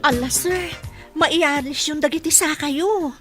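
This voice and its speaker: A woman's voice: high-pitched, slow, a bit bright. A woman with an Ilocano accent acting in a radio drama as an encouraging, devoted therapist. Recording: dry studio with background music.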